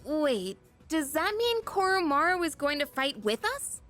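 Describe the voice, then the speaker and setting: A woman voicing a friendly, expressive high-school girl in a video game. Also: a little slow, faint music behind, exaggerated voice. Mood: surprised, caring.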